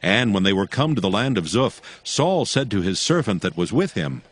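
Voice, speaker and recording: A man narrating scripture from a script in a measured storytelling manner. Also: on the low side, sing-song.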